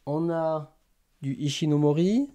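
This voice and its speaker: A man's voice: on the high side, measured pace, dark tone. A man hosting a casual live stream, hesitantly thinking aloud as he types. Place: small indoor room lined with bookshelves.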